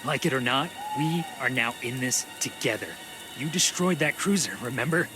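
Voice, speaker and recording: A man's voice: medium pitch, expressive, normal speed, natural voice. A man voicing a cynical, domineering killer in a video game, commanding and sardonic. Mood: cold, commanding. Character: cynical, menacing, domineering killer who calls himself damned. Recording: music behind.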